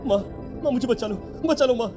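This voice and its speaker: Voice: mid-range voice, fairly quick, dark tone, soft background music, lightly acted. A performer voicing a scared teenage son in a cartoon horror story, nervous and repeating words. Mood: frightened. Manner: stammering, breathlessly fearful, repeating words. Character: frightened teenage schoolboy, anxious son haunted by nightmares.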